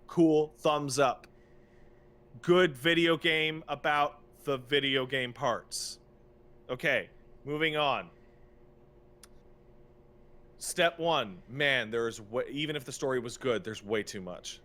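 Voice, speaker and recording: A man's voice: medium pitch, slow pace, pauses often, bright, forceful tone. A man co-hosting a podcast in a casual, opinionated rant, exasperated and emphatic, repeating phrases for effect and swearing freely. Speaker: podcast co-host.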